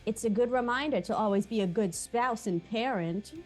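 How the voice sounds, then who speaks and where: A woman's voice: on the high side, moderate pace, soft background music, lightly acted, rough recording. A woman playing a naive, sympathetic parent with dramatic reactions in an amateur table read. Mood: saddened. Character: shocked, sympathetic, naive parent hearing gossip.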